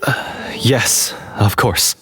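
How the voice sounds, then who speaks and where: An actor playing a meek, remorseful young hunter in a fantasy game, speaking in a halting, earnest, sighing way. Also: male voice, bright, forceful tone, faint music behind. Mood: contrite, earnest.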